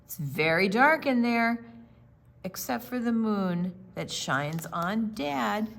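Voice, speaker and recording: A woman reading a picture book aloud to young children, gentle, with dramatic pauses and drawn-out words. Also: mid-range voice, lively intonation, gravelly, slight background noise. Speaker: storytime reader.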